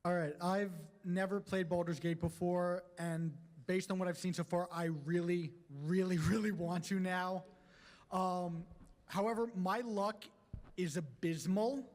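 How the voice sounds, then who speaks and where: A high-pitched male voice asks a question from the audience. He speaks casually and a little haltingly, with long reverb from a big hall. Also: fairly even intonation, a little mellow. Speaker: audience member asking a question.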